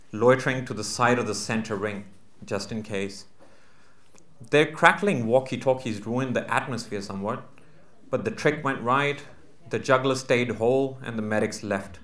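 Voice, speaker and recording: A man's voice: medium pitch, fairly bright tone. Man reading fiction in an echoey room. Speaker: reader at a book launch. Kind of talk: live literary short story reading. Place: live book launch venue. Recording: roomy, echoing live venue recording.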